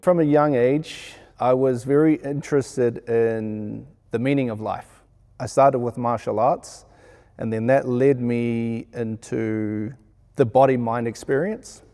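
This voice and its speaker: A man with a New Zealand accent reflecting in an interview in an earnest, measured way. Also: mid-range voice, steady tone, mellow, soft background music. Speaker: sound engineer being interviewed.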